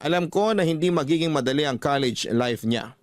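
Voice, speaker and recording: A man with a Filipino accent narrating a first-person radio story in a calm, measured way. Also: a little high, dry recording, studio quality.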